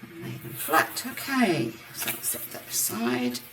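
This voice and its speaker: A woman hosting a card-making demonstration, chatting through each step with a croaky voice. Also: very deep voice, very animated, unhurried, a bit bright. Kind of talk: casual craft demonstration. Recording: roomy, mic at a distance, faint background noise. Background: birds outside.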